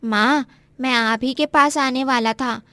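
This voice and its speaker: A woman's voice: high-pitched, fairly even intonation, unhurried, a bit bright, dry recording. A woman voicing an innocent little boy in a story, with a childlike, earnest manner. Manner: childlike, questioning. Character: anxious innocent little boy, devoted to his mother.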